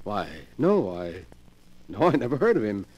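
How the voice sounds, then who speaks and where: A man's voice: on the low side, big pitch swings, normal speed, a little mellow, husky, dull audio. An actor playing a nervous, polite young man in a 1940s radio horror drama, stammering and flustered. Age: young adult. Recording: very noisy old radio recording.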